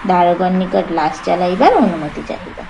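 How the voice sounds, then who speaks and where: A woman reading a literary story aloud as an expressive storyteller, in dramatic audiobook narration. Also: on the low side, fairly quick, gravelly, noisy background.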